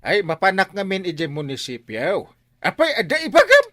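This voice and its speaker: A man with an Ilocano accent playing a boastful, excitable village man in a radio comedy drama, exaggerated and comic, with vocal sound effects. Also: high voice, normal speed, exaggerated voice.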